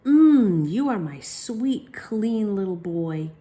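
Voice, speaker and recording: A woman's voice: medium pitch, lively intonation, slow pace, mellow, pitched above the performer's own voice. A woman voicing an affectionate, doting mother of a little boy in a children's storybook, fond and reassuring.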